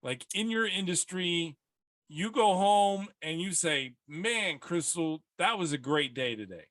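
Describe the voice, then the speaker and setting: A man hosts a relaxed podcast interview, chatty and quick to joke. His voice sits a bit high for a man and sounds dry and close. He fills his questions with loose asides. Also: no room sound. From South Texas. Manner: chatty, joking, many fillers. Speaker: podcast host. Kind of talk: casual interview.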